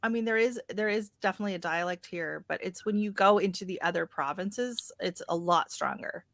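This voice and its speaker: An American woman with a slight Canadian lilt chats casually as a livestream host, telling stories in an animated way. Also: medium pitch, a little fast, vocal fry, no echo. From Oregon, USA.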